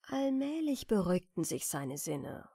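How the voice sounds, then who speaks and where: Woman reading a bedtime story, soft n soothing, really expressive pitch, dry close sound. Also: measured pace, vocal fry, breathy edge, dry recording. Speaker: audiobook narrator. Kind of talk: gentle bedtime fairy-tale reading.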